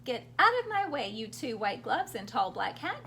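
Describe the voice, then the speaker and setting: A woman voicing a bold, feisty little old lady from a children's storybook, scolding loudly and fearlessly. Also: fairly high pitch, very animated, normal speed, a little hiss or hum, heavily acted. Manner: loud, commanding scolding.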